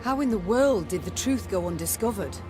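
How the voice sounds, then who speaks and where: A woman's voice: mid-range voice, expressive, fairly quick, lightly acted. A woman playing a stern, imperious warrior queen in a video game, speaking formally and with command over background music. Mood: stern, accusing. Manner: formal, commanding, archaic phrasing. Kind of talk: scripted video game voice acting.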